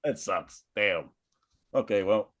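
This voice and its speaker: A man's voice: on the low side, lively intonation, slow pace, no room sound, studio quality. A man from Phoenix, a co-host in a casual podcast chat, laughing and joking with friends. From Phoenix, USA. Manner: laughing, joking, swearing freely.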